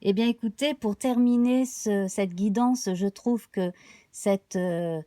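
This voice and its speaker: A slightly hoarse woman giving a live tarot card reading, talking slowly and haltingly with many fillers. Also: mid-range voice, warm tone, dry recording, studio quality. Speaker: tarot reader.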